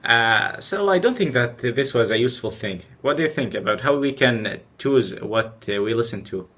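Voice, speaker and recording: A young man whose first language is Arabic hosts a casual chat, pausing often with "uh" as he searches for words. His voice is bright and clear-edged at a middle pitch. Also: expressive. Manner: hesitant, with many "uh" pauses. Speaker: podcast host. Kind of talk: casual conversation.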